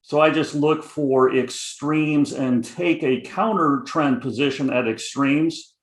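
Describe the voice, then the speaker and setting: A man's voice: fairly high pitch, slow, reverberant. A middle-aged man with a Chicago accent, a guest options trader talking casually, rambling with many fillers. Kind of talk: casual interview chat.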